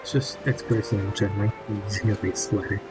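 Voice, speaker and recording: A man's voice: lowish voice, fairly even intonation, fast. A man casually commenting while playing a game, talking in offhand, mumbled asides. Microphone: built-in laptop mic. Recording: built-in mic with loud game music over it.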